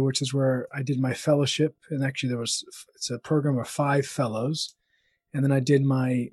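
A man with a mid-pitched, slightly husky voice talks through his career in an easygoing way. He trips over words, repeats himself and fills gaps with "um". The sound is dry and close. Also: a little mellow, dry recording. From Florida, United States. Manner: hesitant, many fillers and restarts. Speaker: colorectal surgeon, podcast guest. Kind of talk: casual interview talk.

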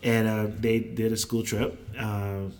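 A man's voice: lowish voice, flat delivery, slow, crowd noise. A man in his forties from the US, a little nasal and stuffed up with a slight cold, chatting and joking as a guest. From United States. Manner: chatty, joking, laughs often. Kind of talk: casual chat.

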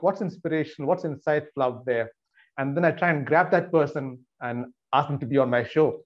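A man hosting a finance interview podcast, introducing his guest in a measured way with frequent fillers. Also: medium pitch, a little mellow, muffled recording. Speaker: podcast host.

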